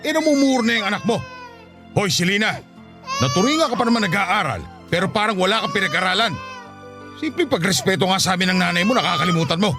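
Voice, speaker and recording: A man's voice: high voice, expressive, fast. A man playing a stern grandfather in a radio drama, admonishing his family. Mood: indignant. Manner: admonishing, indignant. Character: stern, scolding grandfather, tender to the baby. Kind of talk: Tagalog radio drama acting. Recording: loud music under the voice.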